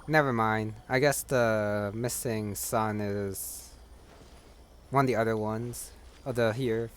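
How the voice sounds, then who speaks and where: A man's voice: lowish voice, fairly even intonation, takes it slowly, a little hiss or hum. A man giving casual gameplay commentary, muttering to himself as he plays. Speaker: gamer. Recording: clear game music behind.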